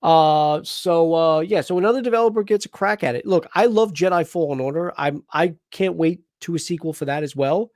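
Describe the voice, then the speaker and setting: A man's voice: on the high side, fairly quick, hardly any pauses, no echo, studio quality. A man hosting a casual live reaction chat, talking in a rambling way with lots of restarts. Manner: rambling, restarts often, animated. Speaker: host.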